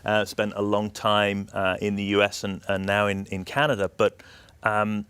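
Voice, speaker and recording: A man with a British accent giving measured, explanatory answers as an executive being interviewed. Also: fairly low pitch, level tone, a bit bright, smooth, no room sound. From United Kingdom. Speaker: energy system operator executive, interview guest.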